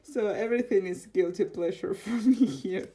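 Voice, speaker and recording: A woman's voice: medium pitch, moderate pace, mellow. A woman from Argentina with an Argentine Spanish accent, chatting casually about her tarot decks and laughing often. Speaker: tarot vlogger. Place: home room, neighbor's car heard outside.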